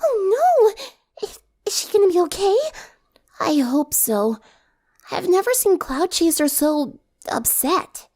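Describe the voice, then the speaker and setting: A woman voicing a timid, gentle teenage girl with a hesitant manner in a story reading. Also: very high-pitched, sing-song, takes it slowly, pitched above the performer's own voice, heavily acted. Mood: worried.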